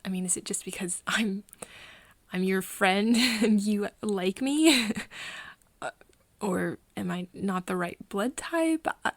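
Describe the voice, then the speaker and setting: A woman playing a hesitant, caring young human friend in a soft ASMR roleplay, breathy and often dropping into a whisper, speaking haltingly. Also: medium pitch, dry recording. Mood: nervous, teasing. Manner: halting, hesitant, with nervous giggles. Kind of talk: soft ASMR roleplay acting addressed to the listener.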